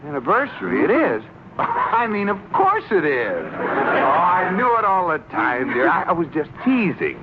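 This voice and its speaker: Bluffing, flustered male husband, vintage radio comedy; highish, extremely animated delivery, blustering. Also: unhurried. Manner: blustering, stammering comic delivery. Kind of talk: 1940s-50s radio sitcom acting. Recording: muffled, noisy old radio recording.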